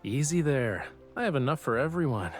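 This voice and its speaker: A man's voice: medium pitch, lively intonation, fairly quick, soft background music, close to an everyday voice. An actor playing a young male love interest in a romance game, playful, casual and wry, with teasing delivery.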